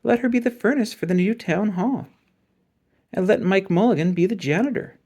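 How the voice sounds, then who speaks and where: Man voicing an eager high-pitched little boy. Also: moderate pace, a little mellow. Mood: earnest, hopeful. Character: eager bright little boy with helpful ideas.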